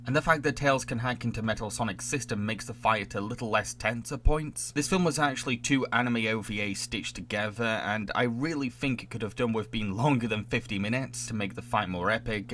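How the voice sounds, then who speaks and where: A man with a bright, forward voice discusses films casually as a podcast host. He is analytical and a little halting, with faint noise behind him. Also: lowish voice.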